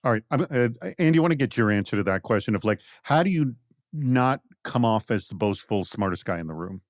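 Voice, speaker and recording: Low-voiced male podcast host, dry close sound. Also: lively intonation, a little fast, no echo. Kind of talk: conversational interviewing on a podcast.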